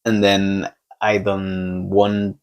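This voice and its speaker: A young man from Spain with a Spanish accent, a podcast guest talking in a relaxed, halting way and laughing often. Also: low voice, clear, resonant tone. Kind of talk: casual conversation.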